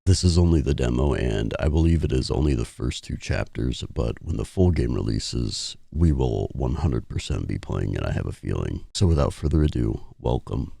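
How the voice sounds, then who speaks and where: Gravelly deep-voiced man with a cold. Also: lively intonation, normal speed, few pauses, mellow, no room sound, clean studio sound. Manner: casual, reads game text aloud. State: getting over a cold, sniffly. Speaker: gaming YouTuber. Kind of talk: let's play commentary.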